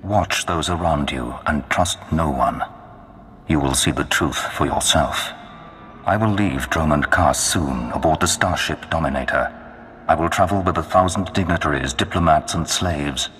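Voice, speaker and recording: An actor voicing a menacing Sith lord in a video game, speaking in a grandiose, commanding way. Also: male voice, rumbling low, moderate pace, a bit bright, breathy edge, exaggerated voice. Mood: imperious. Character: menacing male Sith lord of a dark empire. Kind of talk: video game villain acting. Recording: long cavernous reverb.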